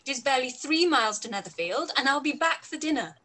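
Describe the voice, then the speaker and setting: A young woman playing a spirited, determined gentlewoman in a period radio play. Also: sits high, lively intonation, fast, slight background noise. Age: young adult. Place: room at home, on a video call.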